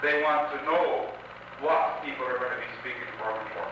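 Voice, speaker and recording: A man makes a measured, firm case to the assembly. His voice comes from far off in a booming hall over steady noise on an old archive tape. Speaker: conference delegate. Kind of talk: meeting argument. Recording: echoey hall from far off, noisy 1980 archive.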